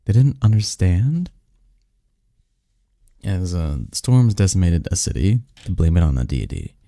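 Snarky bookish male research assistant played by a man for ASMR roleplay; deep, gravelly, wry, fond, chuckling under his cynicism. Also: sing-song, warm tone, dry recording, clean studio sound, full character voice. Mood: wry, lecturing. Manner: wry, halting and chuckling, drifts into bookish lecturing. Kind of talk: intimate ASMR audio roleplay.

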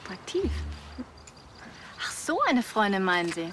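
A woman playing a brisk, friendly, self-assured riding instructor in a TV comedy drama. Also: mid-range voice, sing-song, noisy background. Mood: cool, teasing. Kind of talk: TV drama acting.

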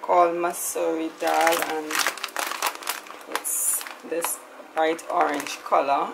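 A woman's voice: low voice, level tone, slow pace, creaky, noisy background. A woman talking casually through a grocery haul, chatty, often ending lines with a questioning "right?". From Trinidad. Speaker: lifestyle vlogger.

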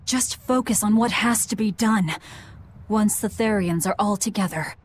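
A woman's voice: medium pitch, moderate pace, a little hiss or hum, lightly acted. Woman as a brooding, curt young avenger. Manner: terse, hard-edged.

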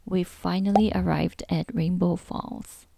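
A woman's voice: on the low side, fairly even intonation, measured pace, creaky, dark tone, slight background noise. A woman narrating a travel vlog in a casual, scripted voice-over. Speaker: travel vlogger narrating a trip.

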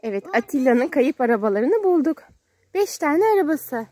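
A woman's voice: sits high, big pitch swings, normal speed, warm tone, no echo. A woman playfully narrating toy play for a small child outdoors, in simple, repeated, sing-song phrases and questions. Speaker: parent playing with a young child on a kids' channel. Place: outdoors at a sand and gravel pile.

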